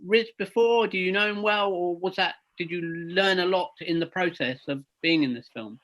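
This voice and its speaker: A man interviewing two actors, attentive and quick with short agreements. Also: high voice, fairly bright tone, low-quality recording. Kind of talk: interview questions.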